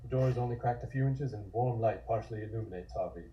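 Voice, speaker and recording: A man's voice: fairly low pitch, fast, muffled recording. A man reading scripted scene narration for a comic table read.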